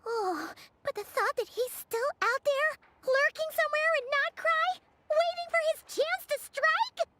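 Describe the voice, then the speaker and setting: A woman voicing a tiny, childlike, excitable fairy companion in an anime game, squeaky and dramatic. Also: a little slow, exaggerated voice. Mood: spooked. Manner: refers to self in third person, dramatic.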